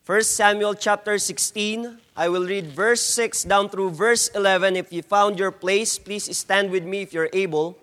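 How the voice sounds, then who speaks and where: Filipino guy preaching, high voice, slow and emphatic, big echoey church hall. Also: fairly even intonation, a bit bright. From Philippines. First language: not English. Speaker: guest preacher. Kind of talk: sermon with scripture reading and prayer.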